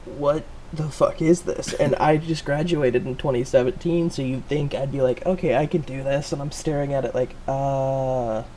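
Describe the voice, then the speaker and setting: Man, twenties, podcast host, mid-pitched, swears a lot, faint background noise. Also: steady tone, normal speed, few pauses, dark tone. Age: 20s. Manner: loose, profane, jokey storytelling. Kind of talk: casual chat.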